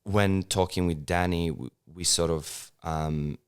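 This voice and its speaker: Low-voiced hesitant male actor. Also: steady tone, a little slow, smooth. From Serbia. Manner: hesitant, many fillers and restarts. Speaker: actor, interview guest. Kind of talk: casual interview conversation.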